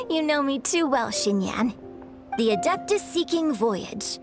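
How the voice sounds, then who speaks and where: A graceful young opera singer from a video game. Her voice is high and her manner refined, with a gentle teasing side. Music is clearly heard behind her. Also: animated, takes it slowly, heavily acted. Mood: teasing, amused. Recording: prominent music under the voice.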